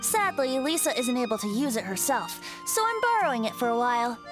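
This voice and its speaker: A man's voice: very high-pitched, sing-song, a little fast. A voice actor playing a playful young male bard in a video game, airy and teasing with frequent laughs. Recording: game audio with music behind.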